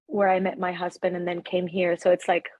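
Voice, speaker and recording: A woman from Ecuador, a podcast guest, talking in a reflective, animated way about her life. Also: fairly low pitch, brisk, no echo. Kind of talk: casual conversation.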